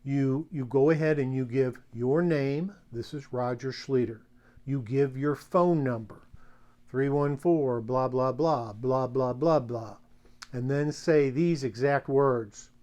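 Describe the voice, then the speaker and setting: A man's voice: mid-range voice, slow, mellow. Folksy, rambling middle-aged American man. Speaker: sales tips presenter. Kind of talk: casual instructional monologue.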